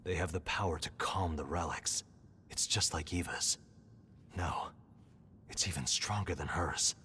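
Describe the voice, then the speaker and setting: Game villain: low-voiced, theatrical and commanding, smug menace, some noise behind. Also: male voice, sharp tone. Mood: sly, intrigued. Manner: taunting, deliberate, dramatic. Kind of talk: dramatic video game voice acting.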